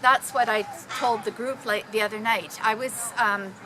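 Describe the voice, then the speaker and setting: Woman, high bright voice, animated storyteller, informal delivery, some background noise. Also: moderate pace. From Canada. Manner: animated, dramatic, acting out exclamations.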